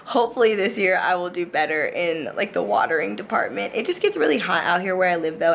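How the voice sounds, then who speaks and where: Chatty young woman with a gravelly edge. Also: fairly low pitch, a bit bright, a little hiss or hum. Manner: chatty, addresses viewers as "you guys". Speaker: lifestyle vlogger. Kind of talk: casual chat to camera. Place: living room at home, indoors.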